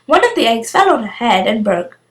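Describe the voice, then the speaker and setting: A woman's voice: on the high side, sing-song, brisk. A woman reading a children's storybook aloud as scripted narration. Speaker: storybook reader.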